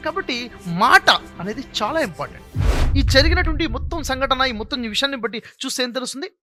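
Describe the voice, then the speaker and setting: A man's voice: extremely high pitch, lively intonation, brisk, sharp tone, soft background music. A man giving a motivational moral talk, emphatic and repetitive, with frequent rhetorical questions. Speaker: motivational speaker. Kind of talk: motivational speech with moral storytelling.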